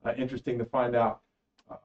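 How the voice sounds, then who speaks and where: A middle-aged man hosting a casual panel talk, asking questions in a relaxed way with some fillers. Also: mid-range voice, fast talker. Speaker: host. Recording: distant, slightly dull.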